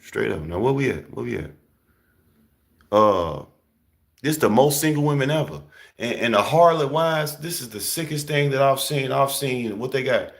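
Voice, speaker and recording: A young man talking in a casual, slangy preaching style, emphatic and often jumping high for emphasis. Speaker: host.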